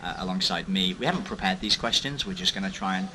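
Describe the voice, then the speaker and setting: A man's voice: sits low, fast. A young man hosting a casual interview at an outdoor cafe, chatty and informal. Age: young adult. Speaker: host. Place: outdoor cafe terrace in Thailand. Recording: loud street noise behind. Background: traffic and street ambience.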